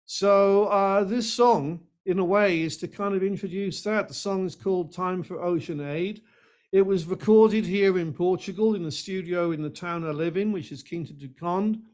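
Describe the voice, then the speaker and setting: A man's voice: high-pitched, normal speed, a little mellow. An older man of about seventy with a Welsh accent, introducing himself conversationally as an interview guest. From Wales. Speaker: guest, singer-songwriter and activist.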